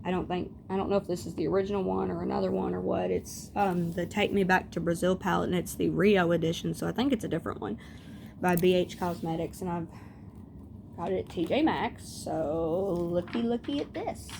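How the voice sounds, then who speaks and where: A woman's voice: fairly low pitch, a little fast, gravelly. A young woman with a scratchy voice, chatting casually and rambling through tangents as she shows off makeup at home. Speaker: beauty vlogger. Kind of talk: casual chat.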